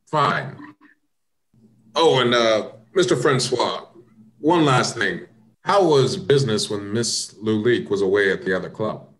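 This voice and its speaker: Man acting an American police inspector, radio drama, somewhat low, composed, measured, politely inquisitive. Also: expressive, a bit bright. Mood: probing, composed. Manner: measured, polite, questioning with pauses. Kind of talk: amateur radio mystery acting.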